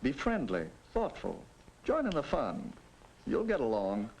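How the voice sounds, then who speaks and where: A man's voice: mid-range voice, huge pitch swings, a little slow, a little mellow. An actor playing a fatherly narrator who coaches a teenager in manners in a 1950s educational film. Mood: friendly coaching. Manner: coaching, instructive. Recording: noisy old 1950s film soundtrack.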